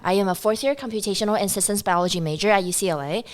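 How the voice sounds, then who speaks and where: A woman's voice: medium pitch, racing. Young woman hosting a student podcast. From Taiwan. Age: 20s. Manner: relaxed, uses "like" a lot. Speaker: podcast host. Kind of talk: casual chat.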